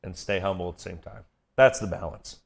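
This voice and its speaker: Middle-aged man, American accent, deep booming baritone, conversational interview guest. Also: fairly quick, creaky, breathy edge. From Kansas City area, United States. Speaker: guest, event MC and voiceover artist. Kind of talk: casual interview chat with stories.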